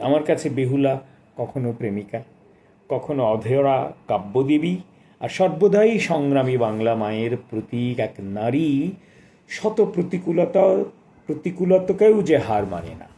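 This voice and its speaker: A man gives a literary book talk, reading aloud in a measured, deliberate way with formal diction. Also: mid-range voice, mellow. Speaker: book reviewer and poetry reader.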